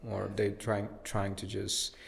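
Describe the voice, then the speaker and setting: A man's voice: on the low side, monotone, takes it slowly, vocal fry, long reverb tail. A young man, a podcast guest who teaches English, talking in a blunt, matter-of-fact way in a casual interview.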